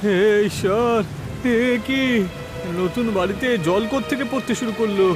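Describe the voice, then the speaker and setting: A man plays a trusting, excitable cartoon farmer from a village. The voice is high and emphatic, full of exclamation. Music sits clearly behind it. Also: moderate pace, lightly acted. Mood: alarmed.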